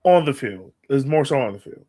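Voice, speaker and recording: A man's voice: mid-range voice, expressive, a little fast, mellow. A man hosting a sports podcast, giving casual fan commentary with playful, acted-out banter.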